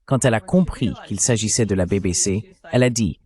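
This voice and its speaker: A man's voice: lowish voice, fairly quick, a little mellow. A man speaking as a dubbed interview guest, recounting events at length with indignation.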